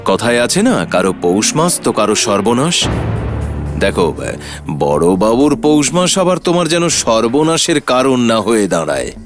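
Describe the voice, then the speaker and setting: An actor playing a shrewd, confident detective in a cartoon mystery, explaining things in a sly, theatrical way. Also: male voice, medium pitch, very animated, moderate pace, music in the background, natural voice. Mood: sly, needling. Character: shrewd, confident male detective revealing the culprit. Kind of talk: animated detective story acting.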